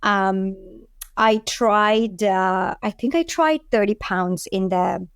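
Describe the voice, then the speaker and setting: A woman's voice: medium pitch, takes it slowly, clean tone, no room sound, clean studio sound. A woman in her forties from India, with an Indian accent, talking casually as an interview guest. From Delhi, India. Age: 40s. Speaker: podcast guest, food entrepreneur.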